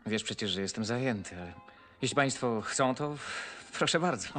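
An actor playing a reserved male fiancé in a period television drama, speaking in a measured, somewhat cool way. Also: mid-range voice, lively intonation, fairly bright tone, faint music behind, natural voice. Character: reserved male fiancé, opinionated about politics.

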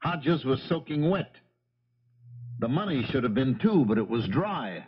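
An actor playing a shrewd, calm police lieutenant, measured and authoritative, in a 1970s radio drama. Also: male voice, medium pitch, slightly rough. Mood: stern. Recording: muffled 1970s radio broadcast.

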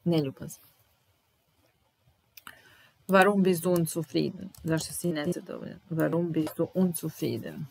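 A woman in her thirties with a Serbian accent, teaching German slowly, repeating each phrase while typing, in a small room at home. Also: sits low, creaky, slight background noise, low-quality recording. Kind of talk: slow, deliberate language lesson.

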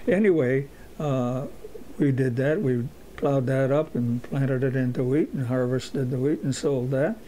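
A man of eighty-seven recalling his youth in a halting way, with many fillers and a dry humor. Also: medium pitch, warm tone, a little hiss or hum. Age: 80s. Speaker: interviewee. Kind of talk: oral history recollection.

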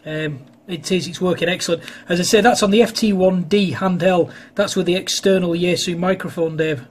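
A man's voice: high-pitched, fairly even intonation, fairly quick. A man chatting casually as an amateur radio operator, recorded indoors at his radio desk. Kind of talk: casual two-way radio chat.

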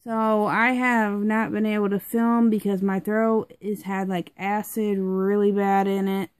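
A young woman with a stuffed-up, congested voice talks through a product review at home, rambling and chatty with plenty of fillers. Her voice sits at a medium pitch, and the sound is dry with no room echo. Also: level tone, measured pace, clear, resonant tone.

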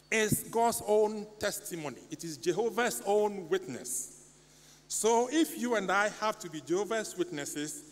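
A middle-aged man from Ghana with a Ghanaian English accent, preaching a sermon from the pulpit in an earnest, pleading manner. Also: high voice. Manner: earnest, pleading, animated hand gestures. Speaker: lay preacher. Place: church sanctuary. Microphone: stand mic at pulpit. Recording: long church reverb, faint hum.

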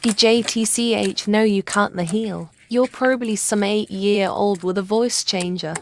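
A woman's voice: medium pitch, unhurried, darkish tone, a little hiss or hum, close to an everyday voice. A woman playing a hostile, impatient young player who snaps and swears dismissively. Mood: irritated. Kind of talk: scripted casual gamer dialogue.

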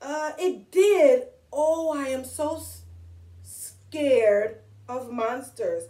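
A woman's voice: high voice, lively intonation, very slow, warm tone, full character voice. A woman voicing a frantic, fearful male puppet monster from a storybook, melodramatic and pleading. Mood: alarmed, scared. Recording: echoey room, distant from microphone.

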